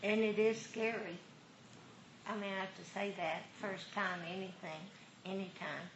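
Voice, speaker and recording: A woman dharma teacher talking casually with a meditation group, unhurried and laughing often. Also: mid-range voice, fairly even intonation, lo-fi sound. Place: meditation hall. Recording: roomy hall with faint noise.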